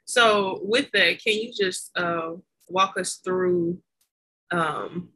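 A woman's voice: on the low side, big pitch swings, extremely slow, rough recording. A woman with a Southern American accent hosting a faith podcast, chatty and laughing at her own slip-ups. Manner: warm, chatty, stumbles over words and laughs at herself. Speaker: podcast host. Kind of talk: casual faith podcast interview.

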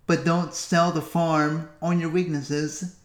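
A man hosting a solo podcast, wandering through his thoughts and pausing often. His voice sits a little high for a man, and it echoes off the walls of the room he is recording in. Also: level tone. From United States. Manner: musing, self-talking, with long pauses. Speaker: podcast host. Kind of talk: solo reflective podcast monologue.

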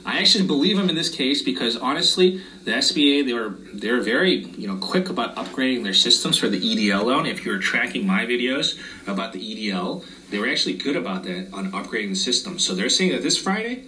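Hoarse man walking through tax forms. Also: mid-range voice, expressive, quick pace, sharp tone, recorded from a distance, slight background noise, poor audio. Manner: plain step-by-step explaining. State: losing his voice. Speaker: tax preparer explaining loan forms. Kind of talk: casual explainer video.

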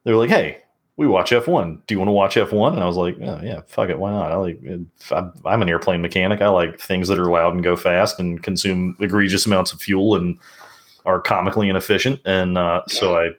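Middle-aged Southern American co-host, very low voice, laid-back, wry and profane. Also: male voice, brisk. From Southern United States. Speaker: podcast co-host. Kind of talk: casual chat.

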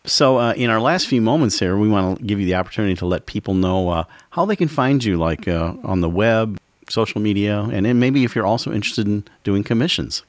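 A male co-host of a public radio arts show, chatty and relaxed, with many filler words. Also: on the low side, fairly quick, few pauses, no room sound.

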